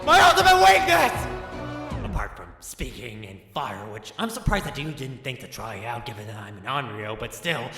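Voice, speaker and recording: An actor playing a vengeful, theatrical ghost villain in an audio drama, pompous, melodramatic and given to petulant ranting. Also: male voice, medium pitch, sing-song, normal speed. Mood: horrified rage. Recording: echoey reverberant hall effect under loud music.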